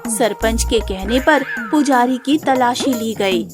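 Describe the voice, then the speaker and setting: A woman reading scripted narration for an animated story. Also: fairly high pitch, slow pace, music in the background.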